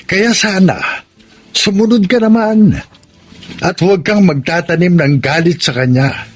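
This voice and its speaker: A gentle grandfather in a radio drama, his voice fairly high for a man. He is patient, admonishing softly as the family peacemaker. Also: measured pace. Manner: soothing, admonishing. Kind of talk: Tagalog radio drama acting.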